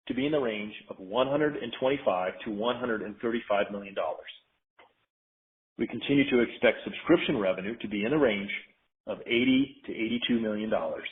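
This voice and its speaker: Male corporate presenter, businesslike scripted delivery, mid-pitched voice, thin telephone-band sound. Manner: formal, measured, businesslike. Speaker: company finance executive. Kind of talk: scripted earnings call presentation. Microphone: conference call line. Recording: narrow tinny phone-line sound.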